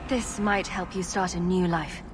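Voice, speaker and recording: Woman playing a gentle, composed mage heroine. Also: on the low side, steady tone, measured pace, background noise, natural voice. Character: composed, caring female mage adventurer, the heroine. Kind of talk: dramatic video game acting.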